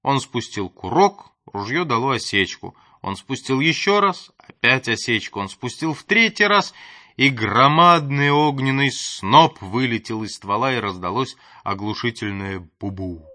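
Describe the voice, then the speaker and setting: Male audiobook narrator, expressive storybook reading, crisp forward brightness, varied dramatic stresses, very dry recording. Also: mid-range voice, unhurried, no echo.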